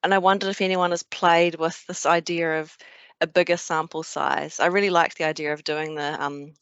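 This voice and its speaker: A woman's voice: deep voice, level tone, normal speed, a bit bright, clean tone, no echo. A woman with a New Zealand accent asks a hesitant question in an online seminar, her speech full of restarts. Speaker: webinar attendee asking a question. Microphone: video call. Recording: dry, muffled video-call audio.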